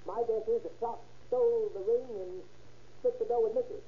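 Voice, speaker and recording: High-pitched, hot-headed radio gangster. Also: male voice, steady tone, brisk. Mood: brooding. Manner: tough slangy gangster talk, threatening. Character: hot-headed, suspicious small-time gangster making threats. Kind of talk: 1930s radio crime drama acting. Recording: tinny, band-limited old radio recording.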